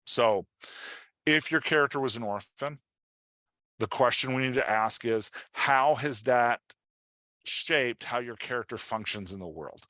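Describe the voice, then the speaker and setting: A man giving an informal workshop as a writing coach, talking in an animated, conversational way and often checking in with his listeners. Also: medium pitch, a little slow, no echo. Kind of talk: informal online workshop presentation.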